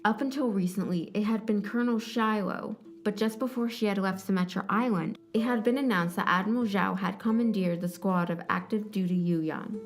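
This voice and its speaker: A woman's voice: medium pitch, moderate pace, few pauses. A woman reading scripted story narration as the narrator of an audio drama.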